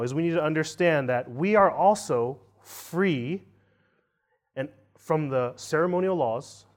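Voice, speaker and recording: A man in his thirties gives a church sermon in a slightly high voice. He keeps it conversational, checking in with "right?" and circling back to repeat key points. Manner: conversational, often tags lines with "right?"; restarts and repeats phrases for emphasis. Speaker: preacher.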